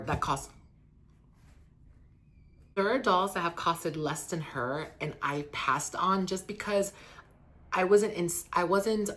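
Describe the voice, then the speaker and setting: A man speaks with a hoarse voice, sitting lower than usual because he is sick. As the host of a casual collector vlog, he rambles earnestly through an unboxing and review, filling his talk with ums and likes. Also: a bit bright. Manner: eager and earnest about the doll. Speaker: doll collector and channel host.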